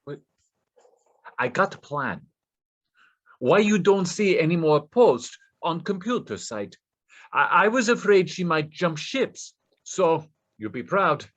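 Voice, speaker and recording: An actor playing a desperate, nervous Russian scammer with a Russian accent, stammering deferentially in broken English in a play reading. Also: male voice, fairly high pitch, very animated, slow, pauses often, fairly bright tone, exaggerated voice. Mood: anxious, reassuring.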